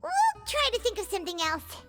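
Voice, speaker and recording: A woman voicing a tiny, chirpy, excitable floating companion in an anime-style video game, with a squeaky childlike voice. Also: fairly quick, soft background music, exaggerated voice. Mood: pondering, deflated. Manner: refers to herself in the third person, exclamatory.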